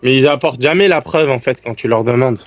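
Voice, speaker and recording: A man who is a guest in a calm live-stream debate, speaking earnestly and in a friendly way while he searches for words. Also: medium pitch, quick pace, no echo, soft background music. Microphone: remote live-stream call.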